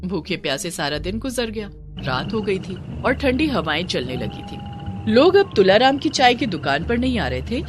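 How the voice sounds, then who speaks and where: Animated woman storyteller over music. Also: medium pitch, moderate pace. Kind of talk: scripted children's story narration.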